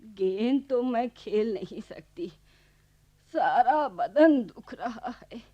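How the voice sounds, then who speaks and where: Casting: good-natured elderly rural grandma, radio play; slow, homely delivery, muffled lo-fi recording. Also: female voice, on the high side, animated, mellow. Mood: affectionate, weary. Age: older. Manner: homely, affectionate, mildly protesting. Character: simple, good-natured village grandmother devoted to card games. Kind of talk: Hindi radio play acting. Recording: dull lo-fi archival radio recording.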